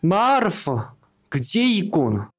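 Man acting slow-spoken, excitable bossy father. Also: high-pitched, husky, full character voice. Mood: eager, urgent. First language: Korean. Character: excitable, bossy father scheming to marry off his daughter. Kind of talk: radio play acting of a classic story.

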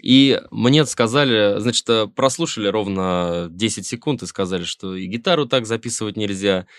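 A man's voice: on the low side, moderate pace, smooth, no echo. A middle-aged Russian man, a musician guest in a casual interview, talking wryly in rambling sentences with many fillers and restarts.

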